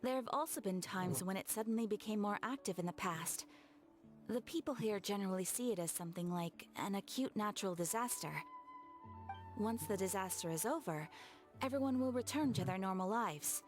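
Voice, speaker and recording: A woman's voice: mid-range voice, normal speed, soft background music, lightly acted. A woman voicing a courteous, composed guild receptionist in a video game, explaining things politely and reassuringly. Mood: sober.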